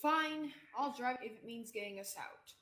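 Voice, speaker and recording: High, bright, bossy young survivor, a woman acting. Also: normal speed, a little hiss or hum. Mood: exasperated. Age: teenager. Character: practical, bossy young woman trapped underground. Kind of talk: radio theatre acting. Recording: echoey room.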